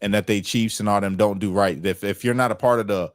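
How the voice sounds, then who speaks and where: Low-voiced American man, streetwise and colloquial. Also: fairly even intonation, fast talker, no echo. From United States. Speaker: livestream host. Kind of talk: casual livestream political chat.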